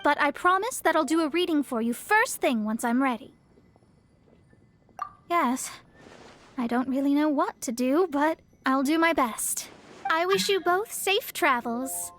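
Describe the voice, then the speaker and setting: A woman's voice: high voice, animated, unhurried, a little hiss or hum. A woman voicing a proud, haughty young female astrologist in a video game who stammers when cornered. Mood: composed. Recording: game voice track over soft music.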